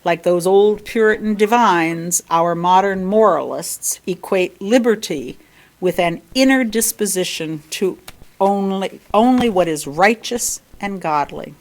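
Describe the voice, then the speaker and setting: A woman reading a written sermon aloud in formal, measured phrases, with deliberate emphasis and pauses. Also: mid-range voice. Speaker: lay reader giving a sermon. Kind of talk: sermon read from a written text.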